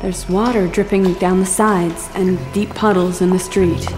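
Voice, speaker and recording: A woman's voice: lowish voice, fairly even intonation, a little slow, music in the background, close to an everyday voice. A woman playing a haunted, anxious patient in an audio drama, speaking hesitantly. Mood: frightened. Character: haunted, anxious young woman recounting nightmares.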